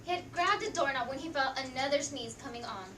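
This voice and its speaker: A woman's voice: sits high, a little fast, a crowd in the background. A teenage girl narrating theatrically as a student stage performer. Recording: echoey room, distant mic, audience noise.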